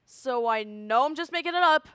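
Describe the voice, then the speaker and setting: Young woman playing high-voiced, anxious school student. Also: expressive, fairly bright tone, clean tone, no room sound, studio quality. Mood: nervous disbelief. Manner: conversational, emphatic storytelling with dramatic pauses. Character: animated, anxious school student recounting events. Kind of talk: theatrical monologue.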